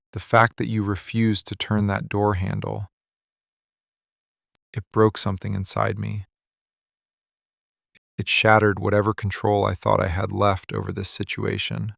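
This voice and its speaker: Low, gravelly, slow-speaking possessive mafia boss acted by a man. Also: no echo, clean studio sound. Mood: vulnerable, pleading. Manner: controlled, deliberate, intense, speaking in short measured statements. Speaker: voice actor. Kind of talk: boyfriend ASMR roleplay, intimate dramatic monologue. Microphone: close studio mic, dry.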